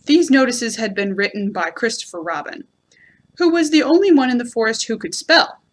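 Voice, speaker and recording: A woman reads a children's storybook as a storyteller would. Her voice is fairly high and very melodic, rising and falling widely. She phrases deliberately and expressively. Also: expressive. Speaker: library storyteller.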